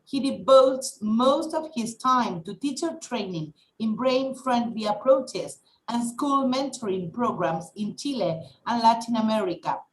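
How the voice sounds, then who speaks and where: A woman who is a native Spanish speaker, hosting a webinar with a careful, somewhat halting delivery. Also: fairly high pitch, animated. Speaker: webinar host. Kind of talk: welcoming and introducing a speaker, partly read. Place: indoors on a video call. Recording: echoey video-call audio.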